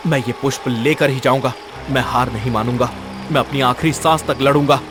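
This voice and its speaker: A man's voice: medium pitch, fairly even intonation, a little fast, over music, close to an everyday voice. Man acting a determined, earnest young prince. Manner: earnest, dramatic. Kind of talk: animated fairy-tale voice acting.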